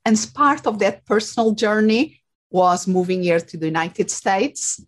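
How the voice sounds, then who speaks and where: A woman's voice: mid-range voice, expressive, a little slow. A woman from Portugal speaking English with a Portuguese accent, a life coach answering interview questions in a reflective way, with many fillers. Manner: reflective, with frequent fillers and restarts. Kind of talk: casual interview talk.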